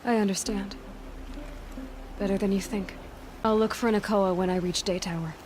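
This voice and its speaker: Woman acting a young game heroine, resolute and wary, mid pitched, very direct, clear noise behind her. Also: fairly even intonation, moderate pace, darkish tone, lightly acted. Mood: guarded, determined. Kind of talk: video game dialogue acting.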